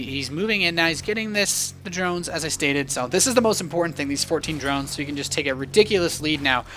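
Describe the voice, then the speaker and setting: A man giving live, animated and joking play-by-play commentary on a video game match as an esports caster. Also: a little high, a little hiss or hum.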